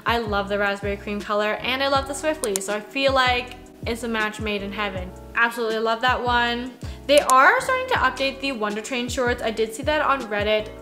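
A woman's voice: fairly high pitch, animated, fairly quick, music in the background. A woman in her twenties chatting casually from her home office as she reviews new clothing releases, with plenty of "like" fillers. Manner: chatty, conversational, lots of "like" fillers. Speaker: fashion vlogger. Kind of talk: casual product review chat. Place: small home office, indoors.

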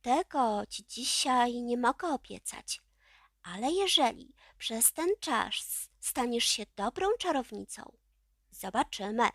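A woman's voice: on the high side, expressive, slow, dry recording, clean studio sound, full character voice. A woman voicing a stern old chief witch who speaks in an imperious, emphatic manner, as in a children's storybook. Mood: measured warning.

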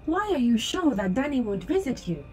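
A woman's voice: high voice, sing-song, moderate pace, a little mellow, faint music behind. A woman voicing a polite, literal-minded holographic AI assistant in an audio drama, formal and precise. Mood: polite, curious.

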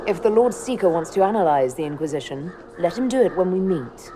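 An actress playing a composed, assertive young heroine in a fantasy game, direct and dry. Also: female voice, fairly low pitch, animated, a little fast, background noise, close to an everyday voice. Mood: firm. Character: cool, assertive young elf woman leading a fantasy inquisition. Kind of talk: fantasy game dialogue acting.

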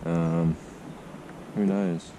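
A man's voice: sits low, very slow, noisy background. A man chatting and teasing his friend while fishing from a kayak out on the water. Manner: relaxed, teasing. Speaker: fishing vlogger. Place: kayak on open water, outdoors.

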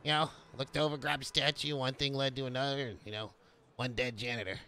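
A man's voice: mid-range voice, steady tone, quick pace, sharp tone, clean tone. A man voicing an unhinged homeless ex-academic who rambles nonchalantly in a game roleplay. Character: eccentric, unhinged homeless male ex-physicist, oddly nonchalant.

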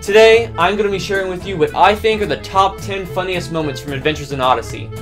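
A man's voice: high voice, fast, a bit bright, soft background music. A man in his twenties hosting a scripted countdown to camera in a small room at home. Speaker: host of a fan video countdown.

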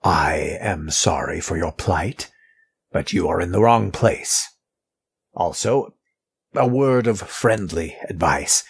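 An actor playing a guarded, courteous middle-aged mortician in an audio drama, speaking in formal, measured phrases with a husky, rough voice. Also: male voice, low-pitched, full character voice.

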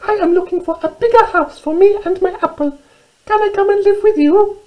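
A tiny, determined little mouse from a children's storybook. The voice is extremely high and squeaky, far up from normal speech. She sounds polite and eager. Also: brisk, lo-fi sound.

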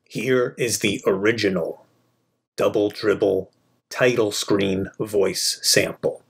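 A man narrating a scripted video about a video game, in short, measured phrases. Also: fairly low pitch, fairly even intonation, husky.

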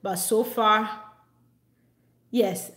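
A Ghanaian woman vlogging in a casual, animated way. She laughs often and repeats phrases for emphasis. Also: medium pitch, drawn-out words. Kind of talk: casual talk to camera. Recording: echoey room.

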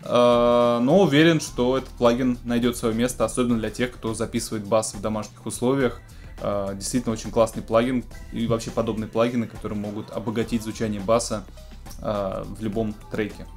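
A man's voice: lowish voice, fairly even intonation, brisk, darkish tone. A man in his thirties presenting music technology news in a casual, semi-scripted style from a home studio. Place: small home studio room with keyboards.

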